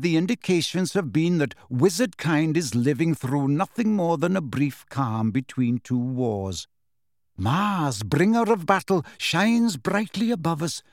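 A man voicing a calm, stately male centaur teacher who speaks in a measured, aloof way. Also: fairly high pitch, no echo, clean studio sound. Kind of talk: audiobook character voice.

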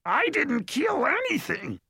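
Tiny cheeky hobgoblin, yelpy and indignant, squeaky high, swoops all over the place, storybook character. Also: male voice, huge pitch swings, slow, gravelly, sharp tone, no echo, studio quality, full character voice. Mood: defensive. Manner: yelping, indignant, colourful insults. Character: frantic, cheeky little male hobgoblin with baby teeth. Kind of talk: audiobook character voice.

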